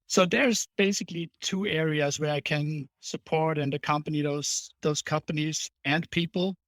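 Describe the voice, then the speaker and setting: A man's voice: fairly high pitch, fairly even intonation, normal speed, a bit bright, dry recording. A middle-aged man from Germany with a German accent, a podcast guest explaining his consulting work in an earnest, conversational way. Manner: earnest, explanatory, with small restarts. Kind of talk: conversational interview answers.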